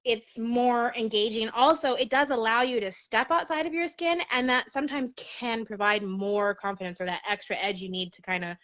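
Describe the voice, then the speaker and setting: A woman in relaxed conversation at home over a video call, chatty and laughing often. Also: on the high side, moderate pace, hardly any pauses, highs cut off. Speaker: guest. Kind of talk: casual chat. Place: her apartment.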